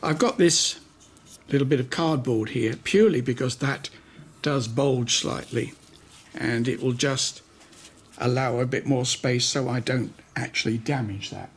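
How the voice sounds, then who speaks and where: A man giving an unscripted hands-on demonstration, talking in an unhurried way and pausing mid-sentence as he works. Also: medium pitch, husky. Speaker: host of a hi-fi repair channel.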